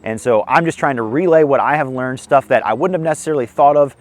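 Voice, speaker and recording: Slightly hoarse young man, casual outdoor vlog. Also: mid-range voice, fast, no room sound. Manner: friendly, self-deprecating, explanatory. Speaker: disc golf video host. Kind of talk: casual tips vlog filmed on the course. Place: outdoors on a foggy disc golf course.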